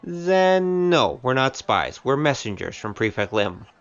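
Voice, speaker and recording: A man's voice: medium pitch, very animated, unhurried, highs cut off. A man playing a cheeky, easygoing young wandering gambler in an audio drama, with a wry, glib delivery. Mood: glib, teasing. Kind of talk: scripted audio drama acting.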